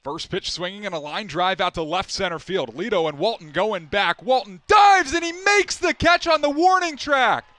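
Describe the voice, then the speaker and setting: A young man doing live play-by-play, with a quick, crisp call that climbs into excited shouts on big plays. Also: high-pitched, dry recording. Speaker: play-by-play announcer. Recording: broadcast with loud arena crowd and noise.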